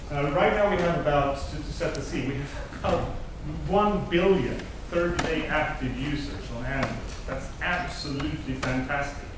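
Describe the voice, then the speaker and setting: A man with a Swedish accent, native Swedish speaker, giving an upbeat technical conference talk and asking the audience questions. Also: on the high side. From Sweden. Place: large conference hall. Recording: echoey hall, mic at a distance, noisy.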